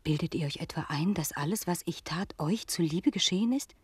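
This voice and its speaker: An actress playing a proud, vengeful French noblewoman in a German radio play, husky and breathy, with a haughty, commanding manner. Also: lowish voice, fairly quick, no echo. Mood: scornful, indignant.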